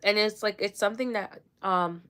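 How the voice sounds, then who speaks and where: A woman's voice: mid-range voice, moderate pace, bright, forceful tone, clear, resonant tone. A young woman from Jamaica with no accent, chatting casually and rambling with fillers while doing her makeup on a livestream. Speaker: livestream host.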